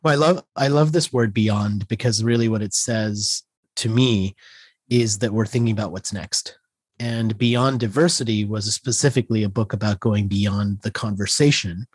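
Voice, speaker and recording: A man in his forties, a guest author, explaining his ideas in a measured way. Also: fairly low pitch, slightly rough, dry recording. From India, raised in the US. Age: 40s. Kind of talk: interview answers.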